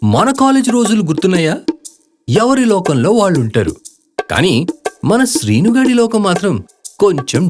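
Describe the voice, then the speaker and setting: A man narrating a comedy audio drama as a wry, chummy storyteller, teasing and speaking straight to the listener over music. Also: sits high. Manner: conversational, teasing, addresses listeners directly. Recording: music loud behind the voice.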